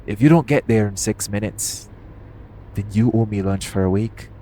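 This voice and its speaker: A man's voice: on the low side, normal speed, mellow, slight background noise, lightly acted, rough recording. A man playing a cocky, teasing, foul-mouthed hitman in an audio roleplay. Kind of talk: audio roleplay acting addressed to the listener.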